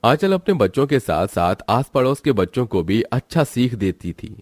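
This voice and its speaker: A man's voice: mid-range voice, big pitch swings, moderate pace, darkish tone, no echo, clean studio sound. A man reading scripted narration for a moral cartoon story.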